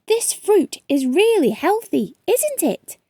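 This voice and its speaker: A woman's voice: extremely high pitch, very animated, slow pace, mellow, no room sound, studio quality, pitched above the performer's own voice, exaggerated voice. A woman voicing an earnest young boy in a storybook, easily awed and full of eager questions. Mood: excited, earnest.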